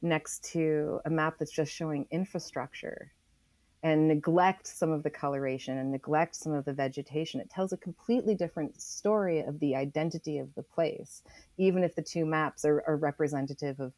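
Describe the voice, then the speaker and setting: A woman being interviewed as a writer, explaining her ideas conversationally and thoughtfully, with deliberate, emphatic phrasing. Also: low-pitched, darkish tone, dry recording. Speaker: interview guest, environmental writer.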